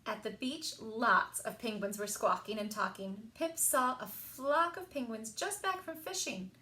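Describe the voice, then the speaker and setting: A woman reads a children's storybook aloud in an echoey room. Her voice sits slightly high and she takes her time, narrating expressively as a story time reader. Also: unhurried, pushed and bright, a little hiss or hum.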